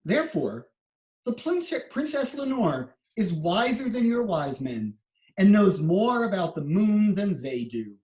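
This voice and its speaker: A clever, gentle court jester, played by a woman in a storybook readers theater. The delivery is slow and theatrical, with very lively, swinging pitch. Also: roomy sound, low-quality recording. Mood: reasoning.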